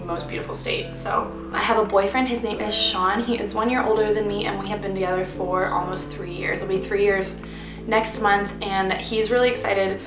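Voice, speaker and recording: A woman's voice: mid-range voice, flat delivery, moderate pace. A young woman in her twenties chatting casually to camera as a vlogger, bubbly and rambling with fillers, sitting in her bed at home. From Pennsylvania, USA. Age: 20s. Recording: roomy echo with music behind. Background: music.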